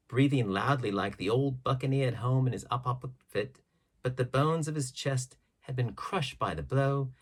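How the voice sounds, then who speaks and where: A male audiobook narrator reads a classic adventure tale. His voice is husky and mid-pitched, with very animated intonation. The phrasing is measured and dramatic. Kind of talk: scripted storybook narration.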